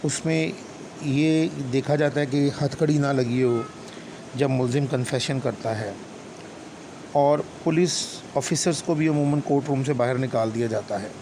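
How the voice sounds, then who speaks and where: A man with a Pakistani accent giving an explanatory law lecture, deliberate and instructional, mixing in English legal terms. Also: medium pitch. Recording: clear steady background noise, hum.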